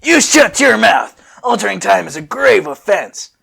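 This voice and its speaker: A man's voice: sits high, very animated, moderate pace, creaky, a bit bright, husky, voice pushed up, full character voice. A man voicing a stern, angry time-patrol warrior in an amateur story reading. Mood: angry, scolding.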